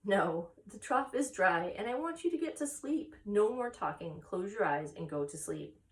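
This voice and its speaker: A woman voicing a wise, protective female spider for a storybook, crisp and emphatic, firm and reassuring. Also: mid-range voice, lively intonation, moderate pace, far from the mic, lightly acted. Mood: firm, motherly.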